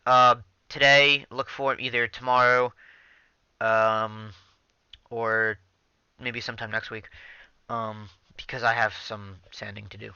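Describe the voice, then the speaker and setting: A man's voice: mid-range voice, level tone, unhurried, pauses often, pushed and bright, clean tone, no room sound, lo-fi sound. A young man casually talking over his gameplay into a headset mic, rambling with many ums and restarts. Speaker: gaming channel host.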